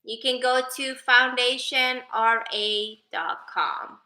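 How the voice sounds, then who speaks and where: A woman's voice: fairly high pitch, fairly even intonation, slow, sharp tone, roomy sound. A woman from Sweden, whose first language is Persian, chatting casually on a livestream and thanking viewers by name. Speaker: livestreamer and advocate.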